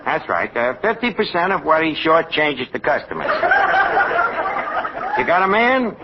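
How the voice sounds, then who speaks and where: An actor playing a wisecracking, uneducated tavern manager with a New York accent and a mock-genteel, sarcastic manner in 1940s radio comedy. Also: male voice, a little high, sing-song, a little slow. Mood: businesslike, sly. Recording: muffled, hissy old-time radio recording.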